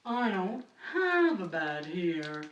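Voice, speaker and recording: A woman voicing a plaintive little boy in a storybook puppet show, protesting in a drawn-out whine. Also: on the low side, sing-song, highs cut off, voice pushed down. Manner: slow, drawn-out whining protest. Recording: roomy, distant mic, faint noise.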